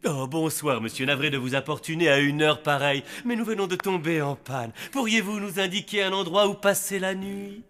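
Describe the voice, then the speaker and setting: An actor playing a chirpy, over-polite, lovestruck young husband in a cartoon. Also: male voice, a little high, a little fast, few pauses, fairly bright tone. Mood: cheery, polite. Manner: chipper, affectionate, over-polite.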